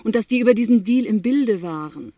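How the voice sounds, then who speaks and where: An actress playing a smuggler's determined, matter-of-fact and gently mocking wife in a radio crime drama. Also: female voice, on the high side, lively intonation, brisk, dry recording, studio quality.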